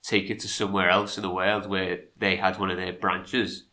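A man with a fairly low, bright and forward voice, stuffed up with a cold. He co-hosts a podcast, reading history from a script and dropping into casual asides. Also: level tone, normal speed. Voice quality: congested, nasal from a cold. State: has a cold. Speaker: podcast co-host.

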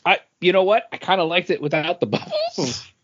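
A man's voice: high-pitched, wildly swooping pitch, normal speed, fairly bright tone, husky. A man chatting casually as a podcast co-host. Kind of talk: casual chat. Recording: dull, lo-fi podcast audio.

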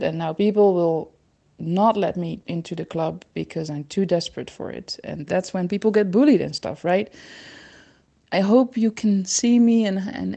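A caller from the Netherlands with a Dutch accent leaves a voice message, rambling in an anxious, self-deprecating way. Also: female voice, mid-range voice, vocal fry, warm tone.

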